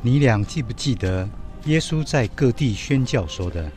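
An actor playing a calm, wise young stranger in a radio drama, speaking in a measured, questioning, instructive way. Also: male voice, mid-range voice, soft background music, natural voice.

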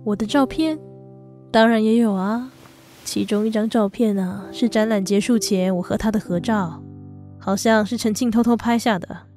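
A woman voicing a gentle, guarded young woman in a Mandarin audio drama, soft-spoken and restrained, with a Taiwanese accent. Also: medium pitch, moderate pace, close to an everyday voice. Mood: tender. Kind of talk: Mandarin radio drama acting. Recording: music bed under the voice.

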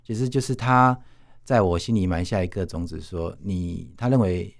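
A man in his forties from Taiwan with a very low voice and a Taiwanese Mandarin accent. He talks informally as an interview guest, telling personal recollections. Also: normal speed, creaky. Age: 40s.

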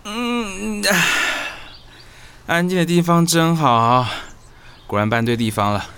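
A man's voice: on the high side, slow pace, fairly bright tone. A man acting a chatty young newcomer in an audio drama, muttering playfully to himself in Taiwanese Mandarin. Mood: musing. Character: chatty, wry young man new to the neighborhood. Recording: clear noise behind the voice.

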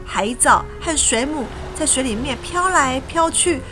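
High-voiced woman narrating a children's story. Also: expressive, unhurried, rough recording. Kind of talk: expressive scripted storybook narration. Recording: music behind the voice.

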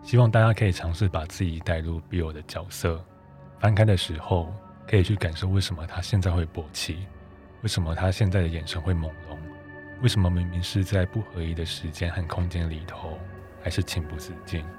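A man's voice: low voice, steady tone, a little fast. A man reading scripted first-person narration for an audio drama. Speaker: photographer narrating his own book. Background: music.

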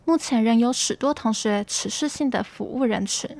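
A woman's voice: a little high, moderate pace, gravelly, a little mellow, no room sound. A young woman with a Taiwanese Mandarin accent reading scripted campus news as a student presenter. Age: young adult.

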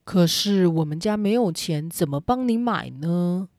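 Earnest young boy for a storybook drama, played by a woman, low and mellow. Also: lively intonation, unhurried, dry recording, studio quality.

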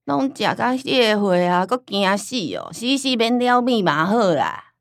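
Old Taiwanese granny, forgetful, stubborn, nostalgic, mid-pitched, radio play, dry studio sound. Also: female voice, sing-song, a little slow, vocal fry, dry recording. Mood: animated, chatty. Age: older. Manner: rambling, folksy storytelling with sighing interjections. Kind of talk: radio drama acting in Taiwanese Hokkien.

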